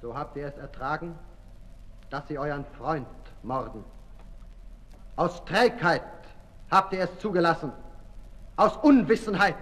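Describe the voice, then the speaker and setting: An accusing revolutionary man orates in an old radio play. His voice sits high and echoes through a hall. The manner is declamatory and urgent. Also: unhurried, pauses often, a bit bright. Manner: rhetorical, declamatory. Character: embittered male revolutionary orator, accusing and urgent. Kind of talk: 1960s German radio play acting. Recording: reverberant hall, 1960s radio recording.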